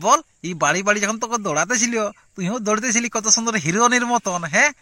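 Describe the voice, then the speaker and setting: A man's voice: high voice, quick pace, pushed and bright, no room sound, studio quality. A man voicing a jealous, hot-tempered village husband and father in a comic cartoon, berating in a rural Purulia Bengali accent. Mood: suspicious, warning. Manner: blustering, berating. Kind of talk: amateur comic cartoon dialogue.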